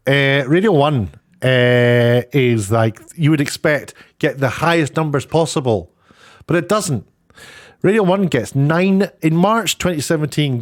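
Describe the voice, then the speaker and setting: A man giving informal, opinionated commentary in an emphatic, halting, sardonic way. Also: mid-range voice. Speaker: online commentator.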